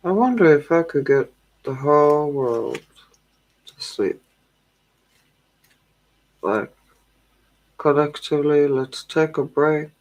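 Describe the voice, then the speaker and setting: A tired woman hosting a tarot livestream, chatting casually with her viewers in a slow, drowsy, calming way. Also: low voice, low-quality recording.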